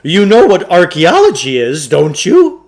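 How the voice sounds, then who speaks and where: A man voicing a pompous, flattering huckster shopkeeper from a storybook, in theatrical salesman patter with hesitant asides. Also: high voice, unhurried, voice pushed up, full character voice. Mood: pompous lecturing. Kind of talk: storybook character voice.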